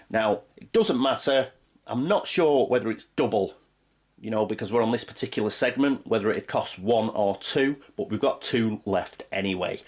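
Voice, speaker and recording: A man with a heavy cold and a congested voice, hosting his channel and talking through a board game turn by turn, explaining each rule as he goes. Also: on the low side. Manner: chatty, explains rules step by step. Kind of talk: playthrough commentary of a board game.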